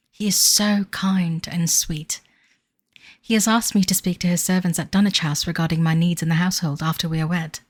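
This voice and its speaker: Actress as 1930s fiancée in audio drama: lowish voice, formal, wistful diary narration, devoted yet uneasy, close dry sound. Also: female voice, no room sound, close to an everyday voice. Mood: fond, earnest. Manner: formal, wistful, measured period diction. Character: young bride-to-be in 1930s, devoted but uneasy.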